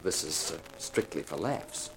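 An actor playing a tough, wry private detective who narrates in a laconic 1950s radio crime drama style. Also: male voice, extremely low pitch, animated, unhurried. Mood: cool, goading. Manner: hard-boiled, sardonic, laconic. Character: tough, wry male private detective, cool under threat. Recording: noisy vintage radio recording.

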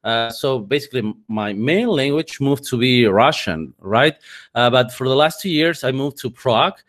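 A man's voice: medium pitch, expressive, normal speed, a bit bright. A man in his forties, a native Spanish speaker, speaking English as a guest in a remote interview, often repeating words as he searches for them. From Spanish-speaking country. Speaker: guest, head of communications. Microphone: remote call microphone.

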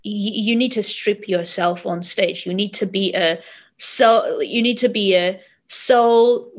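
A woman's voice: mid-range voice, measured pace. A young woman from Armenia, a native Armenian speaker, talking about her songwriting in an interview, hesitant and restarting her sentences as she searches for words. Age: young adult. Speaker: singer. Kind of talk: casual interview.

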